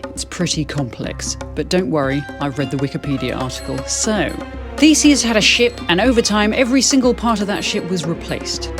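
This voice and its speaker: A woman with a slightly low voice hosts a video essay. Her delivery is dry and wry, poking fun at herself as she addresses the viewer. Soft music plays behind her. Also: animated, moderate pace. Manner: dry, self-deprecating humour. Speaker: video host, fiction writer.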